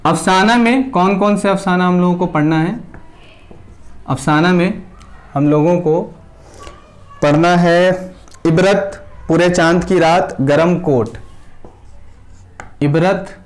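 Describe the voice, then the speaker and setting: A male teacher giving a classroom lecture at a board, dictating names slowly word by word and answering his own questions. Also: sits high. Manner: asks the class questions and answers them himself.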